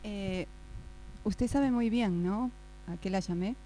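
Woman playing a stern, dark-toned female boss. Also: medium pitch, pitched below the performer's own voice, natural voice. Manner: formal, measured, firm. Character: stern, formal female boss scolding employee. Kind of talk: improvised radio drama workshop. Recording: faint mains hum.

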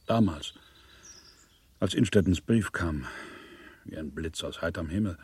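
An actor playing an older father in a radio play, weary, gruff and soft-hearted underneath, impatient, with a breathy, very husky voice. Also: deep voice, a little slow, no echo, heavily acted. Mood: weary, fed up.

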